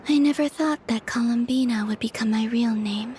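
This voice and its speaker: An actress playing a gentle, serene young moon maiden in a video game, breathy and whispery, with a tender delivery. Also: female voice, fairly high pitch, normal speed, slight background noise, natural voice. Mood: tender, wistful.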